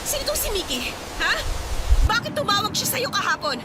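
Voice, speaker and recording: A woman's voice: very high voice, big pitch swings, moderate pace, very noisy. A woman playing a jealous, suspicious girlfriend in a radio drama, accusing, sarcastic and emotional. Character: jealous, suspicious, volatile girlfriend interrogating her partner. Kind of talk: Tagalog radio drama acting.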